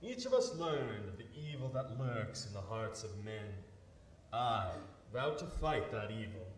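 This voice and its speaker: An actor playing a grim, righteous invisible crime fighter in 1930s radio drama style, measured and ominous. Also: male voice, lowish voice, big pitch swings, echoey room, far from the mic, slight background noise. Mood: grave, commanding.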